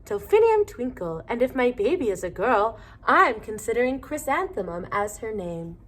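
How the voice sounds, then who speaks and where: A woman reading a storybook, voicing a kind music teacher with a deliberate, gracious manner. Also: on the high side, big pitch swings, fairly bright tone. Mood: gently assured. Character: kind, dreamy grown woman music teacher, gently assured.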